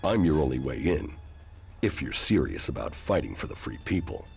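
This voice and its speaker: An actor playing a stoic, terse ex-commander in a video game, with a gravelly, deliberate delivery. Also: male voice, a bass voice, sing-song, darkish tone, faint music behind, heavily acted. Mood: stern, determined. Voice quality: gravelly, creaky. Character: stoic deep-voiced male ex-commander, deserter turned war fighter. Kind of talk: dramatic game dialogue.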